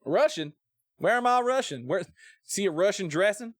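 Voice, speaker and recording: A man's voice: high-pitched, lively intonation, normal speed, dry recording, clean studio sound. An American man giving casual, joking gameplay commentary and reading text aloud, with playful, folksy drawled asides. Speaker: game streamer.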